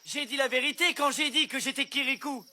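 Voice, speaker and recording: An actor playing an earnest, pleading young village hero in an animated film. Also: male voice, extremely high pitch, fairly quick, a little hiss or hum, exaggerated voice. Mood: earnest, firm.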